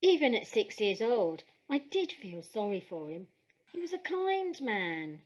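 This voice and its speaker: A woman's voice: medium pitch, sing-song, a little slow, dull audio. An actress playing a plucky, cheeky young girl who dances, in an audio play. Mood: wistful.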